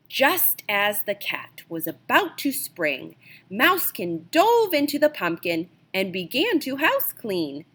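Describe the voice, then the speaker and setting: A woman reading a children's picture book aloud as an expressive storyteller, with dramatic emphasis. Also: mid-range voice. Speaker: storybook reader.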